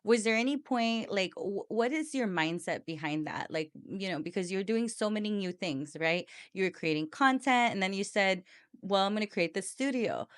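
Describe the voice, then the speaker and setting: Chatty woman host with a smooth voice. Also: mid-range voice, animated, moderate pace. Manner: warm, chatty, encouraging, with soft "mm-hmm" backchannels. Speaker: podcast host. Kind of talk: casual interview chat. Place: small podcast studio.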